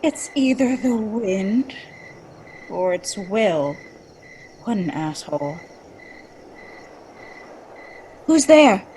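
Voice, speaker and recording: A woman's voice: medium pitch, animated, slow pace, darkish tone, slight background noise. A woman playing a bold, impatient young woman in a dramatic reading, with a blunt, dry, sardonic delivery. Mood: sardonic, defiant. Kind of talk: dramatic reading, casual dialogue.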